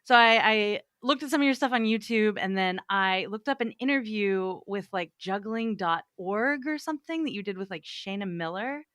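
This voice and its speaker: A woman's voice: medium pitch, moderate pace, few pauses, fairly bright tone, dry recording, clean studio sound. A woman hosting a podcast interview in a casual, joking way, full of "like" and teasing asides. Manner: jokey, teasing, lots of "like". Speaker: podcast host.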